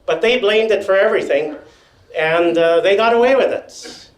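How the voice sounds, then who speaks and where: Older man, loud voice, wry asides, slightly high pitch, roomy echo, unwell. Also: normal speed, crowd noise. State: unwell and dizzy. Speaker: panel speaker. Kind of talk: storytelling at a panel. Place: meeting room. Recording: echoey room.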